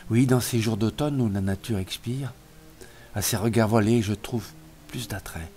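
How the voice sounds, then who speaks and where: A man reciting poetry in a solemn, expressive literary style, in measured phrases that sink softer as lines end. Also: on the low side. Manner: measured phrases falling softer at line ends. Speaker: poetry reader. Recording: music playing behind the voice. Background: music.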